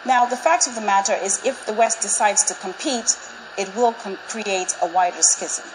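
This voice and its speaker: A woman in her forties, from Zambia, gives a prepared public talk in a measured, persuasive and emphatic way to a live audience. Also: medium pitch, noisy background. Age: 40s. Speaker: speaker. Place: large auditorium with live audience.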